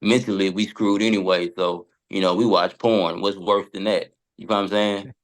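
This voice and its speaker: A middle-aged American man chatting as a podcast guest, blunt and slangy, with a halting delivery. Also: low voice, steady tone. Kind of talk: casual chat.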